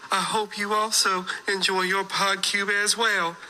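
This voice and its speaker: A man's voice: sits high, little pitch movement, slow pace, heavy background noise. A man voicing a sweet, folksy, earnest customer giving an oddly formal comic testimonial. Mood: blissful, earnest.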